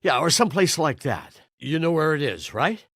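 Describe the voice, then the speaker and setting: An actor playing a cowardly, evasive butcher who stammers apologetically in a dramatic video game. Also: male voice, fairly high pitch, big pitch swings, moderate pace, a bit bright, full character voice. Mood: nervous, evasive. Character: nervous, cowardly male butcher shop owner, evasive and pleading.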